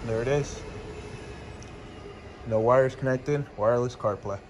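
A man's voice: mid-range voice, measured pace, a little mellow, slight background noise. A man in his forties, speaking casually and matter-of-factly from a garage workshop into a phone camera, with frequent ums. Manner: plain-spoken, matter-of-fact, with frequent ums and uhs. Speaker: motorcycle audio shop owner. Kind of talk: casual product demo and review. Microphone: phone camera at arm's length.

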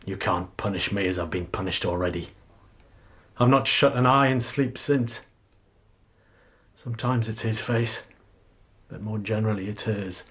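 An actor playing a remorseful, bitter working seaman in a radio drama, with a husky voice. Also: on the low side, normal speed, long pauses, fairly bright tone. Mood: bitter, haunted.

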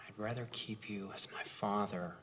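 An actor playing a grown son who pleads with his stern father in a restrained, steady way. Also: lowish voice, normal speed, slight background noise, natural voice. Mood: pleading.

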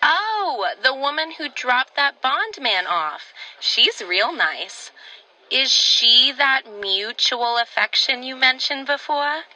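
Woman playing a sharp, sassy diner waitress. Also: sits high, big pitch swings, slow pace, exaggerated voice, rough recording. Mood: teasing. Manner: pointed, flirtatious needling. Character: sassy, teasing small-town diner waitress, old flame. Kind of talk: old-time radio drama acting.

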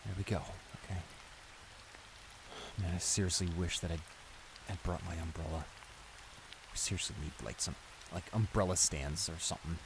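A man's voice: low voice, normal speed, vocal fry, long pauses. A man playing a flustered, apologetic young bully in an improvised roleplay audio drama, speaking in a hesitant, stammering way. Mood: grumbling. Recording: steady rain noise behind the voice. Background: rain.

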